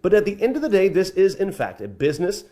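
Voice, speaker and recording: Male American feature reporter. Storytelling narration, lively melodic swings, slightly high register. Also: fast. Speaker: TV news reporter.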